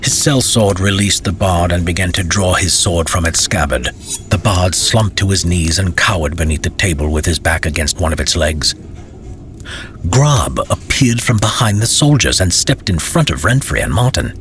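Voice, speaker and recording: A man's voice: sits low, lively intonation, moderate pace, a bit bright. A man narrating a scripted fantasy story as an audiobook reader.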